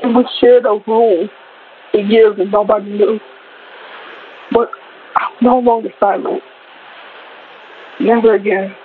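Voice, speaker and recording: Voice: mid-range voice, level tone, unhurried, long pauses, slight background noise. Defiant speaker on a tinny line. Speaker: testimony speaker. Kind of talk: emphatic personal testimony. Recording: tinny phone-like recording.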